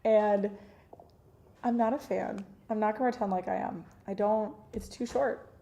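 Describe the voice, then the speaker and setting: Deep voiced woman, kinda hoarse, chatty and teasing, mellow tone. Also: steady tone, moderate pace. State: hoarse, recovering from lost voice. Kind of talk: casual chat.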